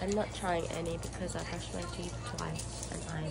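A woman's voice: lowish voice, little pitch movement, moderate pace, creaky. Young woman vlogging over noticeable background noise. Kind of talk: casual vlog chat.

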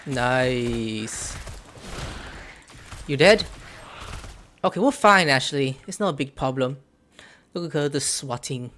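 Casting: young male gaming host, casual commentary, very animated intonation, small-room recording. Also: medium pitch, slow pace, long pauses. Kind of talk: casual gameplay commentary, reading dialogue choices aloud. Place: small room indoors at a computer.

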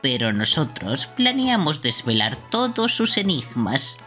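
A woman voicing a bossy, excitable bird deputy making announcements in an amateur game dub. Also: extremely low pitch, measured pace, faint music behind, full character voice. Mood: announcing, proud.

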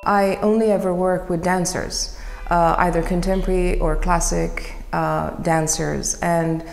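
A middle-aged woman from Iran, a photographer speaking thoughtfully about her work, searching for words with many pauses and restarts. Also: fairly low pitch, flat delivery, gravelly, roomy sound, in a large hall. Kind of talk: reflective interview monologue.

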